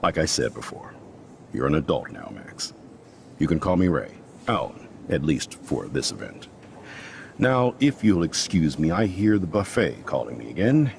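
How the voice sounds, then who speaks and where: An actor playing a jovial, slightly self-important middle-aged school principal in a video game, speaking in an avuncular, slightly pompous way. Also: male voice, sing-song, normal speed, darkish tone, a little hiss or hum, heavily acted. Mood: confiding, genial. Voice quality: very deep, gravelly.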